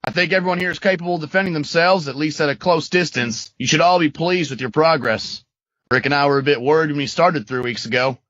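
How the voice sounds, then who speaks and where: A man's voice: a little high, fast, a bit bright, dry recording, muffled recording, close to an everyday voice. A man playing a confident, encouraging firearms instructor among survivors in an amateur comic dub. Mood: encouraging, commanding.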